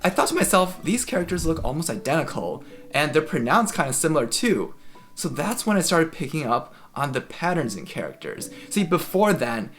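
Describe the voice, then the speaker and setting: A man's voice: fairly high pitch, a bit bright. A young man from the United States, whose was Chinese, giving a friendly, conversational scripted explanation for a language-learning video.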